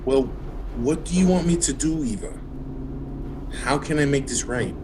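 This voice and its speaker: A man's voice: medium pitch, normal speed, noisy background, natural voice. An actor in an audio drama playing a recently divorced man, earnest and defensive as he placates his lover. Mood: pleading. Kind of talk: contemporary audio drama acting. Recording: music under the voice.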